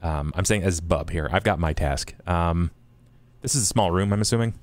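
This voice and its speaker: A man with a low voice takes part in a tabletop game. He talks casually, thinking aloud with some hesitation as he decides what to do. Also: moderate pace, no echo.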